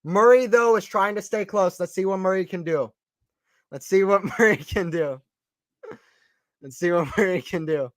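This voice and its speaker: A man with a slight Southern American accent calls a tennis match live for a stream audience, chatting casually between points. His voice sits high and is recorded dry and close, with little room sound. It rises sharply when a rally heats up. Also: normal speed, long pauses, dry recording. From Atlanta, Georgia, US. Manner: casual, chatty, answers chat questions. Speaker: play-by-play stream commentator. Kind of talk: live play-by-play commentary on a watchalong stream.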